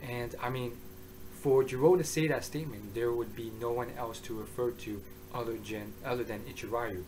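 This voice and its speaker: A man giving a casual, rambling review monologue, hoarse and excitable, often restarting his sentences. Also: mid-range voice, normal speed, poor audio. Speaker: manga reviewer.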